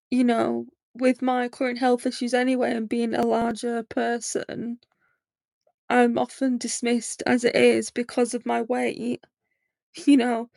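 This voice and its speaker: A young woman in her late twenties hosts a podcast, telling her own story candidly in a loose, rambling way full of fillers. Her fairly high voice has a gravelly fry and stays flat in pitch. The sound is close and dry. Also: no echo. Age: 20s. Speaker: podcast host. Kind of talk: personal monologue.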